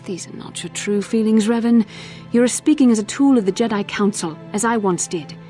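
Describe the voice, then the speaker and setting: An actress playing a proud, seductive fallen Jedi in a video game, speaking with intense, deliberate delivery. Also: female voice, medium pitch, mellow, faint music behind, natural voice. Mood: bitter, insistent. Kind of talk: dramatic video game acting.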